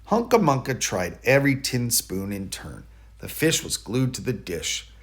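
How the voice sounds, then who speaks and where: A man with a medium-pitched voice reads a children's storybook aloud. His narration is expressive, with dramatic emphasis on key words. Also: a little slow. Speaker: storybook reader.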